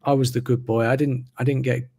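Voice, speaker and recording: A man, talking slowly and reflectively as a podcast host on a video call. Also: mid-range voice. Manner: slow, even, reflective delivery. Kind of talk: casual interview conversation. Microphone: video call mic.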